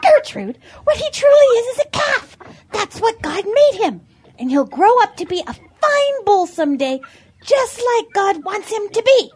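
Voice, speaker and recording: A woman's voice: extremely high pitch, big pitch swings, a little slow, darkish tone, heavily acted. A woman voicing a sensible, pious female farm animal who soothes and moralizes earnestly in an audio drama. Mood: earnest, reasoning.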